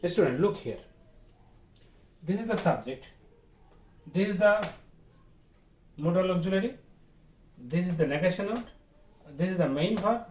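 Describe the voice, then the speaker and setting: Male teacher, Bangladeshi accent, lively swooping intonation, didactic and repetitive, picked up by a distant mic in a roomy space. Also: sits high. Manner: didactic, repetitive, asks and answers own questions. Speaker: school teacher. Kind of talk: online grammar lesson. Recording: roomy echo, distant mic, faint noise.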